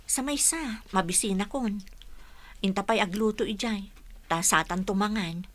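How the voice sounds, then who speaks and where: An actress with a Filipino accent playing a hardworking, self-sacrificing older sister in an Ilocano radio drama. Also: female voice, medium pitch, unhurried, natural voice. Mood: matter-of-fact.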